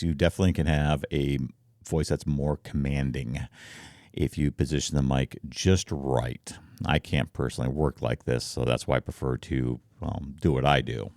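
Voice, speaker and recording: A man with a very deep voice gives a casual, explanatory talk in a streamer's style. His delivery is informal and demonstrative. The sound is dry and close, recorded on a microphone near his mouth in a treated room. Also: vocal fry, darkish tone, no echo. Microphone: close foam-covered microphone, slightly offset from mouth.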